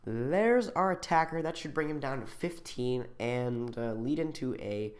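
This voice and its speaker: A man's voice: medium pitch, lively intonation, unhurried. A man talking casually through his own card game turn by turn, thinking aloud with ums and restarts. He is getting over a cold and sounds a little sniffly. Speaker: channel host.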